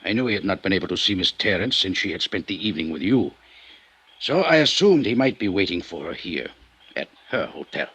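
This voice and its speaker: An actor playing a cool, formal Mexican aristocrat bent on revenge, with a husky voice, in a 1950s radio drama. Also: male voice, deep voice, lively intonation, brisk, a bit bright, full character voice. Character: suave vengeful Mexican aristocrat man. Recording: old radio broadcast recording.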